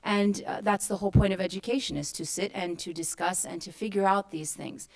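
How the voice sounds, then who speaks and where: A woman's voice: medium pitch, steady tone, fairly quick, vocal fry. Woman from kuwait on a conference panel, earnest, explains things, asks rhetorical questions, mid voice. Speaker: panel speaker. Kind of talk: answering a question at a public forum. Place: conference hall.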